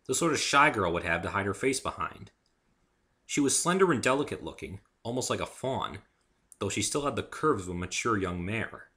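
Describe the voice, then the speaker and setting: A man narrates a first-person story as an amateur dramatic reader. His voice is somewhat low, very bright and forceful, and his pitch moves in a lively way through the scripted sentences.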